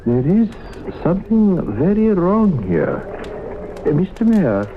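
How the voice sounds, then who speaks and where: An actor playing a fussy, proud traveling professor with formal, hesitant, indignant speech in an old radio drama. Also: male voice, sits high, huge pitch swings, takes it slowly, heavily acted. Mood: flustered, evasive. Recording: tinny, noisy old radio broadcast.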